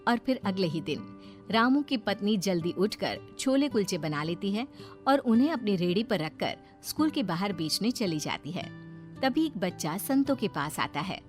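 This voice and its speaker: A woman's voice: mid-range voice, very animated, moderate pace, faint music behind. A woman reading a scripted moral bedtime story as the narrator.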